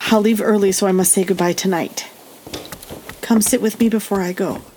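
A woman playing a gentle, resolute middle-aged mother in an audio drama, tender and composed. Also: mid-range voice, steady tone, normal speed, a little hiss or hum, close to an everyday voice.

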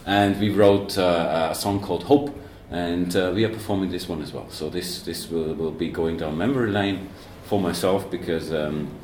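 A man's voice: low-pitched, steady tone, moderate pace, hardly any pauses, a little mellow, roomy sound, slight background noise. A man in a band, talking casually with many fillers and restarts, joking now and then, in a university coffee room. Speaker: band member and guest. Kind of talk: casual interview chat.

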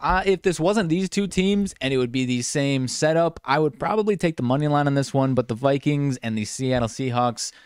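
A man's voice: mid-range voice, brisk, hardly any pauses, clean tone, dry recording, studio quality. A man co-hosting a sports betting show, talking through his picks in a casual, rambling way and correcting himself. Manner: rambling, stumbles and self-corrects.

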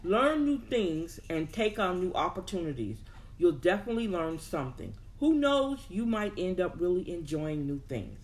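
A woman giving an instructional talk, reading out examples and adding emphatic, halting asides and rhetorical questions. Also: on the low side, big pitch swings, rough recording. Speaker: presenter teaching a self-improvement lesson.

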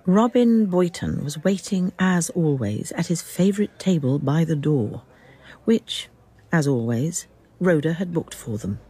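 Mellow, husky woman narrating. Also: low voice, lively intonation, a little slow, full character voice. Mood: measured. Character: measured third-person storyteller. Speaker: narrator of a radio drama. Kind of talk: scripted literary narration.

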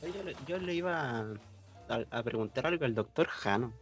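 A man's voice: mid-range voice, animated, a little fast, soft background music. A man with a Chilean accent bantering and arguing casually as a panelist. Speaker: podcast panelist.

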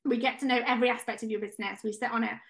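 A woman's voice: a little high, steady tone, racing, a bit bright. Chatty woman losing her voice. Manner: enthusiastic, chatty. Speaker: podcast co-host. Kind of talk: casual podcast chat.

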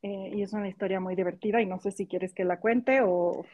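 A woman's voice: mid-range voice, steady tone, a little fast, dull audio. A woman in her forties, a podcast guest, chatting casually and telling a story, full of fillers. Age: 40s.

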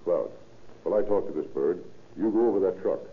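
A thin, phone-like vintage radio recording. a deep-voiced police captain in a crime drama. He is shrewd and authoritative, firing out clipped orders and questions. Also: expressive, brisk. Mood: businesslike, musing. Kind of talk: 1930s-40s radio drama acting.

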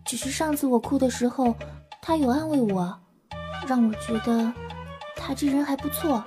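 A woman playing a timid, glum young human captive in an audio drama, grumbling softly. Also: high-pitched, moderate pace, music in the background, natural voice. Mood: hesitant. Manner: soft, mumbled grumbling.

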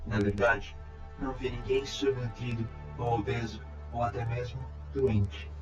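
A man's voice: medium pitch, level tone, lightly acted. Echoey, formal male robot played by a man. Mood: calm, factual. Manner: formal, measured, polite reporting. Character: calm, courteous humanlike male robot time traveler. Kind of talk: audio drama acting. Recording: echoey, dull amateur sound, music behind.

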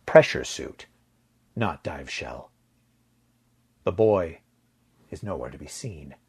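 Slow, husky low-voiced male narrator. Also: expressive, a little mellow. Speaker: audio fiction narrator. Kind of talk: dramatic scripted story narration.

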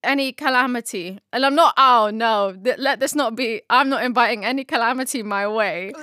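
A woman's voice: fairly high pitch, brisk, no echo. Woman chatting about bible study, slangy and giggly, dead dry mic. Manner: slangy, laughs often, animated. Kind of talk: casual Bible study chat.

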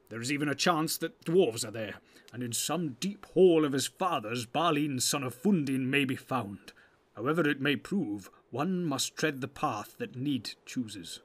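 A man's voice: mid-range voice, lightly acted. A man playing a grave, commanding old wizard in a fantasy audiobook, speaking in weighty, archaic, oratorical phrases.

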